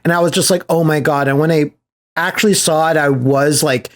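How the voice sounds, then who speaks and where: Male podcast host, voice a touch high, enthusiastic, rambling, informal slangy chat. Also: steady tone, fairly quick. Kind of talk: casual podcast chat about movies.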